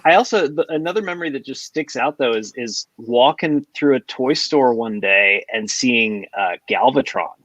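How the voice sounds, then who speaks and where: A man in his forties co-hosting a podcast, reminiscing casually with halting pauses mid-sentence. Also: medium pitch, lively intonation, a bit bright, dry recording, muffled recording. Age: 40s. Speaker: podcast co-host.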